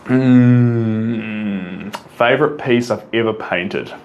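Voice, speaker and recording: A man's voice: lowish voice, drawn-out words, a little mellow, highs cut off, a little hiss or hum. A young man with a New Zealand accent, an artist casually answering viewer questions, rambling enthusiastically with frequent ums and restarts. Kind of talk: casual Q&A monologue.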